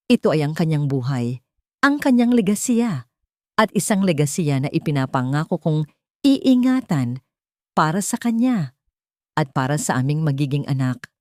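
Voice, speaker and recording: A woman's voice: low-pitched, a little mellow, no room sound, studio quality. A woman with a Filipino accent voicing a poised, scheming widow who gives a formal, solemn speech in an audio drama. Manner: solemn, confident, formal public address.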